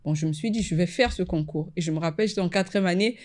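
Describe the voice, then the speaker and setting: A woman, an environmental lawyer from the Republic of the Congo, answering interview questions in a measured, casual way. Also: fairly low pitch, darkish tone. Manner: measured, repeats "effectivement" often.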